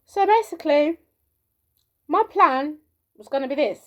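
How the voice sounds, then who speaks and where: A woman from the UK with a soft, girlish voice, talking casually to camera in a rambling monologue full of fillers, her pitch climbing as she gets into the flow. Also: lively intonation, a little slow. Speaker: vlogger.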